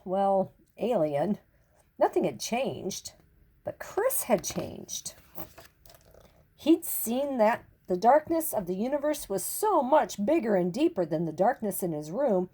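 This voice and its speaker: An older woman narrating a children's picture book expressively, with deliberate dramatic emphasis and pauses. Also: medium pitch, mellow, husky. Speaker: storyteller reading to children.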